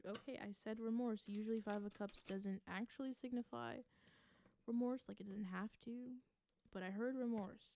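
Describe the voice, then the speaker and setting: A woman from the American South giving an intuitive tarot reading to viewers. She gives earnest advice, repeats phrases for emphasis and laughs softly. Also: on the high side. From Southern United States. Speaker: tarot reader.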